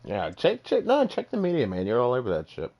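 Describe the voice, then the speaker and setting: A man's voice: mid-range voice, wildly swooping pitch, fairly quick, dull audio. A man hosting a podcast in loose, profane casual talk, laughing easily. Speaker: podcast host.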